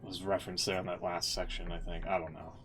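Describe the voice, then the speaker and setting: A man's voice: sits low, level tone, fast talker, music in the background. A man giving casual, rambling game-streamer commentary, thinking aloud and swearing casually. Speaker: game streamer reacting to lore and cutscenes.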